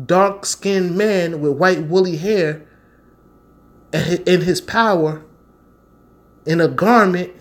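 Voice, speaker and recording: American man, slightly high-pitched voice, unhurried pace, confrontational preacher, slangy and emphatic, informal scripture teaching. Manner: confrontational, slangy, emphatic, repeating phrases for stress. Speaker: street-style preacher and Bible teacher. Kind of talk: fervent informal sermon with scripture reading.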